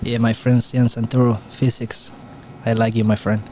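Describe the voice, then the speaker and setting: A man from Spain with a Spanish accent, a pool player commenting on a match as a guest in halting English. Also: medium pitch, flat delivery, background noise. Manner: halting non-native English with restarts. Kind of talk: casual live commentary.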